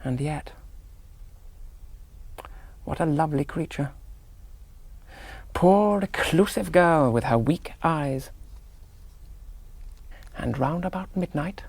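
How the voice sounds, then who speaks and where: Creaky-voiced, wry young English gentleman. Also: a little high, no echo, exaggerated voice. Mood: entranced, fearful. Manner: clipped, wry, musing aloud. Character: rational young English army gentleman, jaunty, wryly romantic. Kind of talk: 1970s radio drama monologue.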